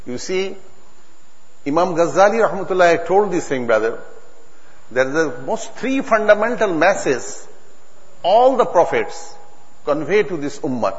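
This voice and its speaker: A man's voice: on the high side, very animated. Bangladeshi man preaching in a reverberant hall. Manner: earnest, halting, emphatic, repeating phrases in non-native English. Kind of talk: religious sermon to a congregation. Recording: dull recording in a long-reverb hall.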